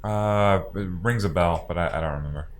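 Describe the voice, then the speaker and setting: A man co-hosting a casual podcast, explaining things haltingly and repeating words as he goes. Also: sits low. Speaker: podcast co-host.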